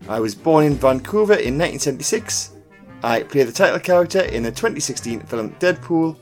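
A man hosting a quiz podcast, reading out scripted questions and clues in a deliberate announcing manner. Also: fairly high pitch, expressive, darkish tone. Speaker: pub quiz podcast host. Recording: music bed under the voice. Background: background music.

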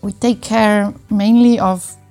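Mellow-voiced Italian woman explaining things. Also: medium pitch, fairly even intonation, takes it slowly, faint music behind. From northern Italy. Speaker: interview guest, sports medicine doctor. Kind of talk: conversational interview answers.

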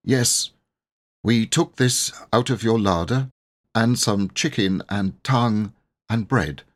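A man's voice: lowish voice, slow, pitched above the performer's own voice. A man voicing an earnest, anxious but brave young boy making a pleading confession in a storybook reading.

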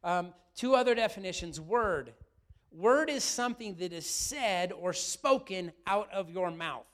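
High-voiced preacher, a bit raspy. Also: male voice, a little slow. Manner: lively, asks the room questions often. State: slightly raspy from yelling. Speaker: pastor. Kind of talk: sermon.

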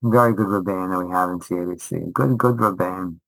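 A man's voice: low voice, fairly quick, a bit bright, no echo, poor audio. A man giving casual interview answers as a rabbi and teacher, speaking emphatically and often repeating short phrases for stress. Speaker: rabbi and high school teacher.

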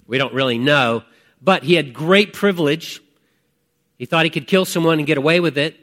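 Higher-pitched man preaching conversationally. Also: moderate pace, a bit bright. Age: 50s. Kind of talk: conversational sermon with personal testimony.

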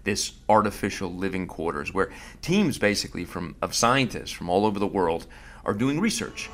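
Man, low voice, podcast host, casual storytelling, fillers and restarts. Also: lively intonation, normal speed, a little hiss or hum. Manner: conversational, with frequent fillers and restarts.

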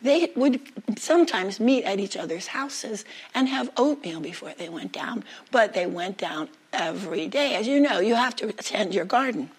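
A woman telling a folktale aloud as a storyteller, with dramatic, deliberate phrasing and pauses. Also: mid-range voice, expressive, slightly rough. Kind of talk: live oral folktale storytelling.

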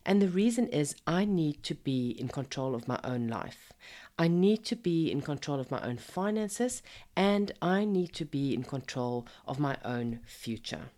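A woman in her fifties who is hoarse from a cold, hosting a podcast in a chatty, encouraging way that speaks to the listener as a friend. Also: low voice, sing-song, measured pace, warm tone. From Zimbabwe. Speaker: podcast host. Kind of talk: casual motivational podcast monologue.

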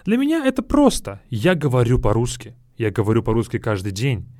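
A man who is a native Russian speaker, hosting a podcast for learners, speaking slowly and repeating words to explain them. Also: mid-range voice, huge pitch swings, darkish tone, dry recording, studio quality. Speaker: Russian teacher and podcast host. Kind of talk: slow teaching podcast, Russian with English glosses.

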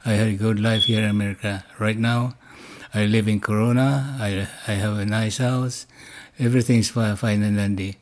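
A man in his eighties from the Philippines with a Filipino accent, reminiscing casually and pausing to search for words. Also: lowish voice, steady tone. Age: 80s. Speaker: interview guest, grandfather.

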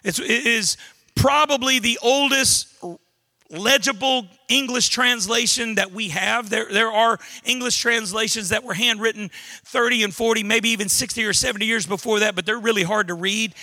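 A Southern pastor from Louisiana preaches in a high, bright, forceful voice. He is a middle-aged man who leans on folksy humor and quick asides. He often restarts his words mid-phrase as he teaches. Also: steady tone. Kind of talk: sermon.

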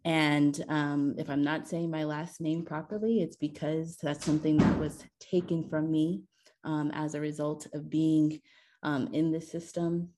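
A woman's voice: sits low, flat delivery, measured pace, very noisy. A woman from Los Angeles, executive director of an advocacy group, talking upbeat with lots of ums. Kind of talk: casual panel talk.

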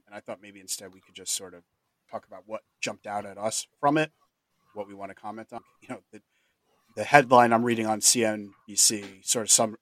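A man's voice: fairly low pitch, fairly bright tone, no echo. A man hosting a casual podcast chat, steering the talk along with plenty of fillers. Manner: fast-talking, steering the discussion with fillers. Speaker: podcast host.

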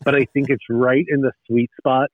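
A man's voice: medium pitch, flat delivery, moderate pace. A man in his thirties talks in a rambling, nostalgic way, often stopping to restart a thought. His voice comes through a narrow, tinny phone-line sound. There is no room echo at all. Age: 30s. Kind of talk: casual chat.

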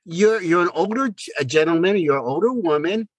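A middle-aged man chatting casually as a podcast co-host, often halting and repeating his words. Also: high-pitched, expressive, dry recording. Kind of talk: casual conversational chat.

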